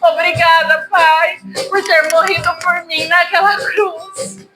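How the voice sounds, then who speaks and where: A woman prays aloud in a very high voice, slow and tearful, pleading with fervent emotion. Guitar music plays clearly behind her. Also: level tone. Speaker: prayer leader. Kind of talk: emotional spoken prayer over guitar. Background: soft guitar music.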